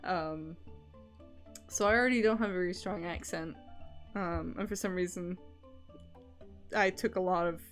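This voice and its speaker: A woman's voice: fairly low pitch, normal speed, long pauses, faint music behind. Young Scottish-Canadian-accented woman rambling casually. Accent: mixed Edinburgh Scottish and Canadian. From Scotland. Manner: rambling and candid, with loose swearing. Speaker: streamer. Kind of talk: casual stream chat.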